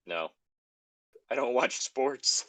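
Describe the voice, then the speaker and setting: A man's voice: mid-range voice, lively intonation, slow pace, a bit bright, dry recording. A man chatting casually as a co-host, teasing and swearing lightly as he comments. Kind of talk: casual banter.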